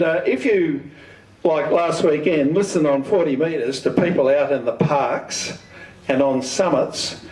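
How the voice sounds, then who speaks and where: An older man giving an instructional talk, deliberate and emphatic, putting questions to his listeners. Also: on the high side, darkish tone. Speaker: speaker giving an amateur radio talk. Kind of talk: instructional lecture with questions to the audience. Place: community centre meeting room. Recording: echoey hall, distant microphone.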